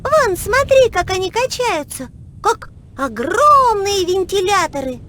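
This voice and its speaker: A woman voicing a curious, excitable little girl who wonders aloud expressively in a children's educational cartoon. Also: extremely high pitch, unhurried, slight background noise, heavily acted. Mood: curious, puzzled.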